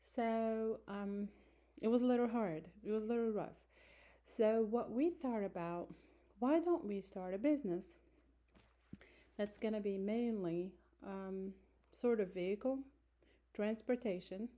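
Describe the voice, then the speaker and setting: Mellow-voiced woman, casual self-introduction. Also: medium pitch. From Morocco, raised in France. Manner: unhurried, many fillers and self-corrections. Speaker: student introducing herself. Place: small room indoors. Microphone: phone held close.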